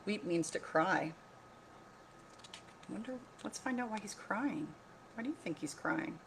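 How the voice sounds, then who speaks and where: A woman reading a picture book aloud like a teacher, pausing to explain, ask questions and repeat key points. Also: mid-range voice, animated, gravelly, noisy background. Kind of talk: read-aloud storytelling with explanatory asides.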